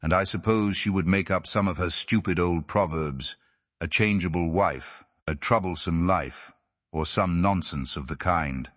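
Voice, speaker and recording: A man's voice: low-pitched, a little slow. A man voicing a fretful young wife who chatters in old-fashioned, gossipy domestic speech in an audiobook. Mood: indignant.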